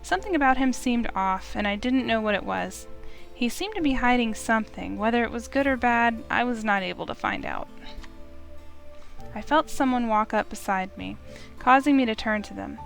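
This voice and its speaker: A woman's voice: fairly high pitch, expressive, moderate pace, creaky. A woman reading a visual novel aloud as dramatic first-person narration, with music behind her. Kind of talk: dramatic narration of a game's text.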